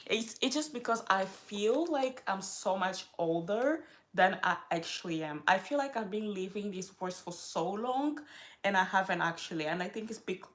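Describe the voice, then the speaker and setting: A woman's voice: mid-range voice, lively intonation, moderate pace. Rambling young woman vlogging. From Africa. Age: young adult. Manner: rambling, self-interrupting, loses her train of thought. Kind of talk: casual chat to camera.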